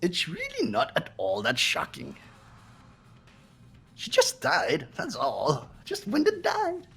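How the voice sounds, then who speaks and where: A very raspy cartoon robot bear runs a game of cruelty as headmaster. The pitch leaps wildly as it taunts with gleeful, mock-innocent theatrics, over music. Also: wildly swooping pitch, takes it slowly, fairly bright tone, full character voice. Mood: callous taunting. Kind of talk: fan voiced visual novel playthrough.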